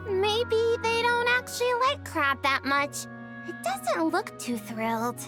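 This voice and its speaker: A woman voicing a small, childlike female game creature, bubbly, earnest and excitable, with music behind her. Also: very high-pitched, takes it slowly, full character voice. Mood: relieved, cheerful. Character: small cheerful female Melusine creature, excitable gem hunter. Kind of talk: English video game character acting.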